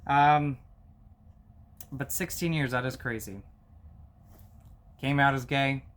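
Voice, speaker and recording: A man in his thirties talks to his viewers in a slow, halting way, pausing often and starting over. His voice sits at an ordinary middle pitch, and the tone is sincere and personal, like a long-running host looking back. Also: fairly even intonation, fairly bright tone. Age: 30s. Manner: halting, many fillers and restarts, heartfelt. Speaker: movie collector YouTuber. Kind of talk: casual personal vlog talk.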